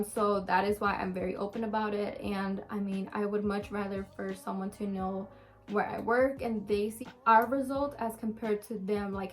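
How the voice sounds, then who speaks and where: Chatty young woman answering questions. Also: medium pitch. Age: around twenty. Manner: chatty, frequent ums and restarts, open and candid. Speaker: vlogger answering viewer questions. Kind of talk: casual Q&A chat to camera.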